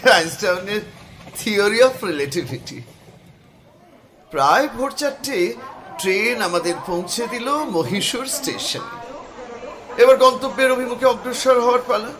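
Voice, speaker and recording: An actor playing a cheerful young male art student who narrates the story in an expressive, literary audio-drama style. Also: very high-pitched, takes it slowly, long pauses, full character voice, rough recording. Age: young adult.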